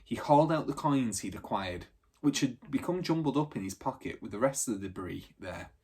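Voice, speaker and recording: A man reading a children's adventure book aloud as a storyteller, in animated narration. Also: medium pitch, brisk, slightly rough.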